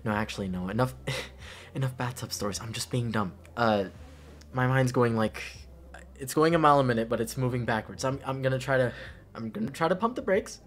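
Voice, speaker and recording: A young man playing an affectionate, goofy best friend at a party in an intimate audio roleplay, halting and repeating himself in casual slang. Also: medium pitch, close to an everyday voice. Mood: playful, rambling.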